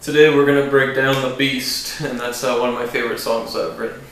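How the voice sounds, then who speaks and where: A man with a deep voice, a country musician, talking casually about his song in a relaxed, rambling way with many fillers. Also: brisk. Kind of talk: casual interview talk. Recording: echoey room with faint noise.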